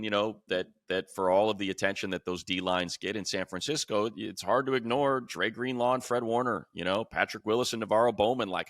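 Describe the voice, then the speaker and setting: A man's voice: deep voice, fairly bright tone, dry recording, studio quality. A man with an American accent hosting a casual sports talk show, speaking in a colloquial, emphatic way.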